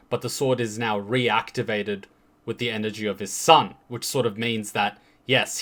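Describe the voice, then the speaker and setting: A man's voice: on the low side, a bit bright. A man giving casual, chatty commentary while reacting to game cinematics, now and then restarting his sentences.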